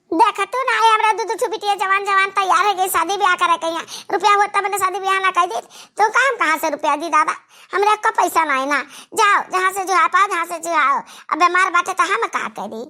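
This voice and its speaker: A woman's voice: very high-pitched, bright, forceful tone. A woman playing a stingy, brusque, scolding village sister-in-law with an Awadhi accent in a comedy cartoon.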